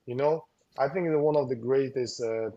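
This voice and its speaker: Halting coach with a mellow voice. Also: male voice, mid-range voice, dull audio. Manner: halting, with many fillers and restarts; earnest advice-giving. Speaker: combat sports coach, guest. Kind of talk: casual interview talk.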